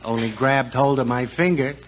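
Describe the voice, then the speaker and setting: A man playing an eager, soft-hearted, slightly naive police deputy in 1940s radio drama style. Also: medium pitch, normal speed, close to an everyday voice. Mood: delighted. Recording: dull, faintly noisy 1940s radio broadcast.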